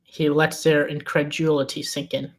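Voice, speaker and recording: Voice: mid-range voice, level tone, normal speed. A screenplay narrator with a mid-range voice reads out stage directions. The delivery is scripted, describing scenes and actions for a table read.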